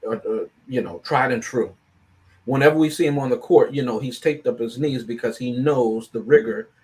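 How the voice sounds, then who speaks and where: A man's voice: mid-range voice, normal speed, darkish tone. A man speaking as a panelist on a film review show, in casual, analytical discussion, often checking in with "right?".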